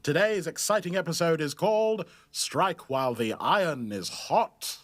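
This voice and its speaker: A man announces a show with theatrical flair. His pitch runs a bit high and moves widely. The sound is close and dry. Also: expressive, normal speed, a bit bright, no echo.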